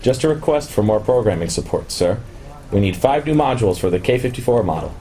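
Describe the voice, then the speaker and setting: A man's voice: mid-range voice, animated, a little fast, dark tone, natural voice. An actor playing a proud, obsessive male programmer genius in a radio play, with a brooding, self-important manner. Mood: calm, assured. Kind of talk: radio play acting with confessional narration.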